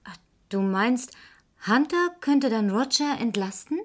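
High-voiced, reproachful crime-drama heroine. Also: female voice, expressive, unhurried. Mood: earnest, worried. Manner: earnest, reproachful. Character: sharp, accusing woman entangled in a murder case. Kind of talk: 1970s German radio crime drama acting.

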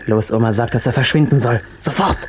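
A man's voice: mid-range voice, a little fast, lightly acted. An actor playing a desperate, distrustful gunman barking curt orders from a barricaded house in a radio crime drama. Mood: commanding, agitated. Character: desperate armed hostage-taker barricaded in a house. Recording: hissy old radio play recording.

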